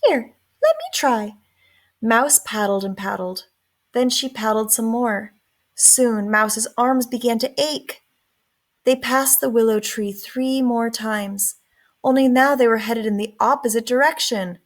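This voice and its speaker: A woman reading a children's story aloud in an expressive, deliberate narrating style. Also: on the high side. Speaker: storybook reader.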